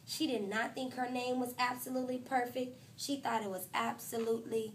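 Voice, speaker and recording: Teacher-type woman with a high voice reading a storybook to kids, lively and expressive. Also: normal speed, a little hiss or hum. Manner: expressive, voices the characters and talks to listeners. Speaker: teacher reading a picture book aloud. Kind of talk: children's storybook read-aloud.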